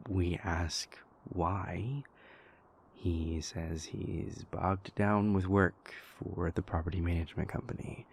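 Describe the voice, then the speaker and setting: A man playing a stressed young vampire prince confiding in his lover in an intimate, halting audio roleplay monologue, with a husky, gravelly voice. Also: low-pitched, slight background noise, full character voice. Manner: halting, hesitant, exasperated, conversational.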